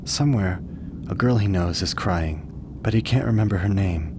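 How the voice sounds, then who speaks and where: A man narrating a scripted radio play in a measured, literary storytelling manner. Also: low-pitched, noisy background.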